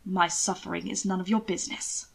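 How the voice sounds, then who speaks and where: A woman's voice: fairly low pitch, steady tone, fairly quick, vocal fry, slightly rough. A woman voicing a haughty, guarded young lord with formal, clipped speech in a story. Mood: curt, angry.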